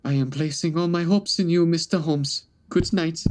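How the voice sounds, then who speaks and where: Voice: mid-range voice, normal speed, darkish tone, natural voice. An actor playing a proud, anxious European king in a comedy audio drama, speaking in a grave, formal, pompous way.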